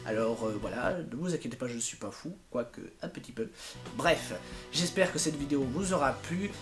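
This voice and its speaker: Halting, casual man over music. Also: medium pitch. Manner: halting, rambling, informal. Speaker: amateur fandub project leader and YouTuber. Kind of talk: casual, unscripted video update to followers.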